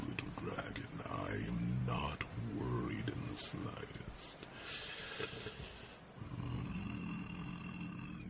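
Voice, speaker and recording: A man voicing a huge ancient dragon in an ASMR fantasy roleplay, a calm, gentle mentor with a gravelly rumble and a deliberate, ruminative manner full of thoughtful hums. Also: heavily acted. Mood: calm, curious.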